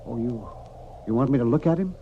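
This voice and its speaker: Man playing frontier doc in old radio western, steady protective type, mutters a bit, expressive range, slight noise. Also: medium pitch, fairly quick, phone-quality sound, natural voice. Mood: wary, resolute. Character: seasoned frontier town doctor, protective and steady. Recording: noisy old-time radio recording.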